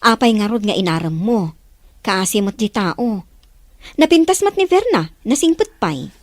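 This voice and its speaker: A woman's voice: mid-range voice, big pitch swings, moderate pace, no room sound. A woman playing a middle-aged mother reproaching her grown child in an audio drama. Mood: reproachful. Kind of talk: acted audio drama dialogue.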